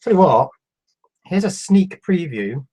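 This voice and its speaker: A man in his fifties with a cold, reading out viewer questions on a live stream and answering them in a relaxed, halting way. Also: a little high, breathy edge, rough recording. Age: 50s. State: has a cold. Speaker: electronics YouTuber answering live chat. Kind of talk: casual live stream chat.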